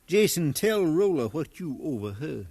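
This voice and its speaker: An actor playing a suspicious, commanding slave owner, stern and threatening, in a 1950s radio drama. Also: male voice, on the high side, very animated, moderate pace, darkish tone, husky, no echo, full character voice. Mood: cold, threatening. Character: suspicious, commanding Southern slave-owning colonel.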